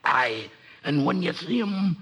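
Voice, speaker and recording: An actor playing a dying sea captain who gasps urgent warnings in a husky voice, in a storybook record drama. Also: male voice, a little high, expressive, slow pace, fairly bright tone. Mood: gasping, urgent. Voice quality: husky, gasping.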